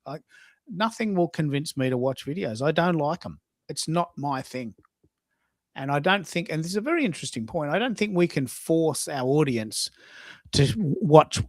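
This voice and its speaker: A man's voice: on the high side, expressive, normal speed, darkish tone, dry recording, studio quality. A man hosting a casual live audio chat, opinionated and repeating himself for emphasis. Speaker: live show host.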